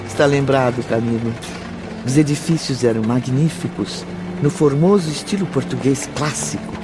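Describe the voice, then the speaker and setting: A man narrating a scripted radio drama in a measured, solemn storytelling manner. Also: mid-range voice, background noise.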